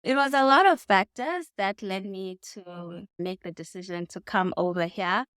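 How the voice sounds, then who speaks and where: A woman in her thirties with a Zambian accent speaks as an interview guest. Her tone is smooth and clear, captured very dry. She answers casually and reflectively, picking her words with care. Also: mid-range voice, normal speed, a bit bright, no echo. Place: indoors in a home.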